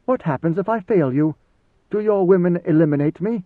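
A man's voice: high-pitched, brisk, no room sound, voice pushed up, close to an everyday voice. A blunt and wary veteran swordsman, played by a male reader, loyal but defiant, firing off short, challenging questions in a lifted register. The audio is narrow and phone-like, with no room sound at all. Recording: thin phone-like dry sound.

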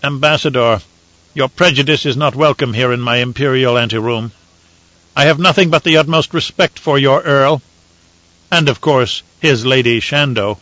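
A male narrator voicing a cold, imperious emperor who speaks in a stern, measured way. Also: mid-range voice, pushed and bright, no room sound, dull audio, natural voice.

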